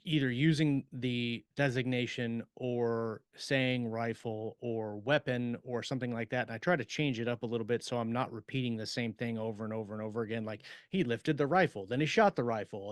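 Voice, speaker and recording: A man's voice: medium pitch, moderate pace, no room sound. A man talking shop casually as a podcast host and writer.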